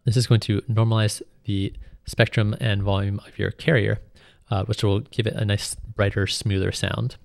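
A man giving an informal, step-by-step tutorial as a music software instructor. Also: on the low side, level tone, moderate pace, vocal fry, few pauses, no room sound. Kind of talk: tutorial narration, explanatory.

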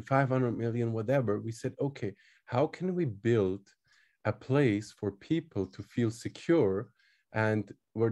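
Mellow man, halting English. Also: mid-range voice. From Switzerland. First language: not English. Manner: halting, searching for words. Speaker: guest, crypto project team member. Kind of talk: casual interview answers.

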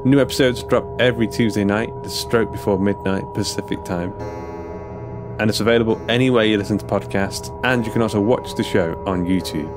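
A man's voice: fairly low pitch, brisk, music in the background. A man announcing for a horror podcast, reading a scripted sponsor message and episode introduction.

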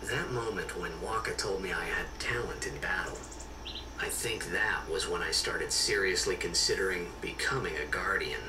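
A man voicing a reflective young fantasy game hero who narrates his memories in a measured, introspective way. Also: sits low, close to an everyday voice. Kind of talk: scripted voice-over in a fantasy game. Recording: echoey, distant, noisy game audio.

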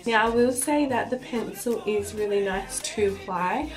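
A woman's voice: mid-range voice, soft background music. A young woman with a slightly stuffy voice from a cold, chatting casually through a makeup review in a small room. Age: young adult. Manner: chatty, unhurried, trailing off mid-sentence. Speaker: beauty vlogger. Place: small indoor room, plain wall.

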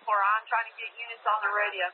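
A man dispatching emergency units over a scanner, his voice high and his words quick and clipped. The sound is thin and tinny, like a phone line, with heavy static behind it. He speaks in short radio codes. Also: little pitch movement. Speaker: sheriff's office dispatcher.

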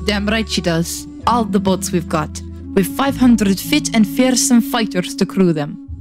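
A woman's voice: mid-range voice, measured pace, close to an everyday voice. A woman playing a brash, cocky young boat-builder and rebel in a fantasy drama. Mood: teasing, persuading. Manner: brash, teasing. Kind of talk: dramatic fantasy fan-dub acting. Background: music.

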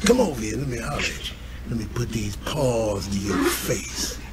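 A man voicing a streetwise, trash-talking animal in a comedy voice-over, with slangy, repetitive banter, excitable and cocky. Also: fairly low pitch, normal speed, very noisy. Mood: fed up.

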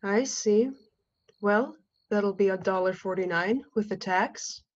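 A laconic attendant out in lonely country, played by a woman for a radio play. The talk is folksy and unhurried, at a middling pitch, on a slightly dull recording. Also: close to an everyday voice. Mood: laconic, musing. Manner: folksy, unhurried, plain-spoken. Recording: slightly muffled online recording.

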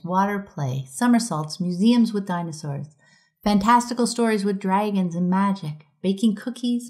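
Middle-aged female host, measured reflective read of a prepared talk, mid-range pitch. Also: a little mellow. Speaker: podcast host giving a conference talk.

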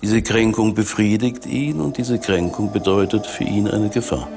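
Male expert interviewee, sixties, lowish pitch, deliberate and emphatic explanatory delivery. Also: over music. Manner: deliberate, emphatic repetition in long phrases. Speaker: forensic psychiatrist, expert interviewee. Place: dim indoor interview set, seated.

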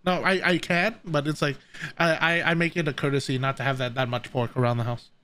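A man's voice: a little high, fairly even intonation, a little fast, sharp tone, a little hiss or hum. A man chatting casually and jokingly as he streams a game, often playful in the way he talks. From Vietnamese. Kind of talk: casual livestream chat while gaming. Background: game music.

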